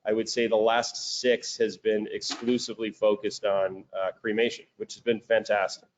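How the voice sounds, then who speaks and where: Lower-voiced man with a thick Chicago accent. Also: normal speed, muffled recording, slight background noise. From Chicago, United States. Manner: relaxed, many fillers and restarts. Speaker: guest, crematory and metal recycling business owner. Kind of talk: casual interview over video call. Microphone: video call.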